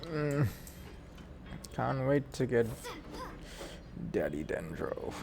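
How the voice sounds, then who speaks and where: Gamer making playful crude asides, gravelly slow voice, faint noise behind. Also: medium pitch, big pitch swings. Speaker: gamer, streamer. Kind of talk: casual gameplay reactions.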